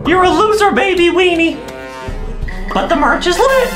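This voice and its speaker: A chirpy cartoonish mother, put on by a man speaks in an extremely high, exclaiming, sing-song voice. She scolds like a comic mom in a reaction video. Loud music plays and the sound is echoey. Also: lively intonation, moderate pace. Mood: scolding. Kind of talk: comedic reaction commentary. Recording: roomy echo, loud music behind.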